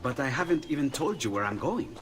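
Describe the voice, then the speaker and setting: An actor playing an excitable, timid inventor with an eager, hesitant manner in a game cutscene. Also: male voice, mid-range voice, a little fast, slight background noise. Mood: eager, friendly. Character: excitable, warm-hearted, timid male inventor and artist. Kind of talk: dramatic game cutscene acting.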